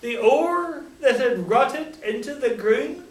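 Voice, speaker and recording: Scottish man, high voice, slow lilting poem delivery, recorded from far off in an echoey hall. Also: animated, slight background noise. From Scotland. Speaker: co-author at a reading. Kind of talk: reading poetry aloud to an audience. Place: hall at a public reading. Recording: echoey hall, taken from a distance.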